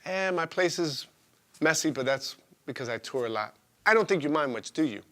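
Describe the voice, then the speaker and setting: A man voicing a flirtatious, easygoing woman in a spoken-word story, with a soft, drawn-out, coy delivery. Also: on the high side, animated.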